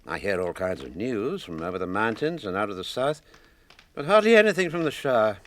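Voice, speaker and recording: A man's voice: fairly low pitch, sing-song, a little fast. A man acting an elderly, chatty, old-fashioned hobbit with a British accent in a radio drama. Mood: cheerful, chatty.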